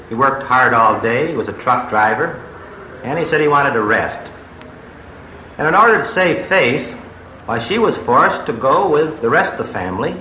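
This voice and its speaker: A man's voice: medium pitch, pushed and bright. A man with an American accent giving a formal, matter-of-fact statement as a police detective captain. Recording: dull, echoey, noisy 1940s radio recording.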